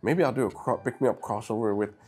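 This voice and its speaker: A man's voice: mid-range voice, fast talker, a little mellow, breathy edge. A middle-aged man with a slightly sniffly nose gives a casual, upbeat toy review, speaking straight to his viewers on a clip-on mic. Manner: chatty, upbeat, talks to viewers directly. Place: indoor room lined with shelves of brick sets. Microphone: clip-on lapel mic.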